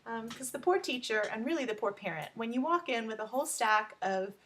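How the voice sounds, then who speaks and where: A woman's voice: on the high side, expressive, brisk, noisy background. Texan-accented woman explaining her work. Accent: American, Texan. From Texas, United States. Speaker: education advocate, coach and tutor introducing own service. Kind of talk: informal explanatory talk to camera.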